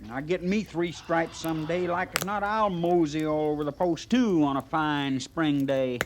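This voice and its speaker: An actor playing an easygoing, dreamy cavalry trooper with a rural western American drawl, in old-time radio western style. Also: male voice, fairly high pitch, moderate pace, close to an everyday voice. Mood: flustered. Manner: drawling, folksy, hesitant under pressure. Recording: noisy old radio drama recording.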